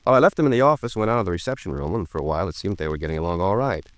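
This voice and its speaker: Fast-talking, low-voiced earnest attorney. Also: male voice, lively intonation, no echo. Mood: earnest, concerned. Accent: American. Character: earnest, cautious male attorney, talkative and proper. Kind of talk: 1950s radio drama dialogue.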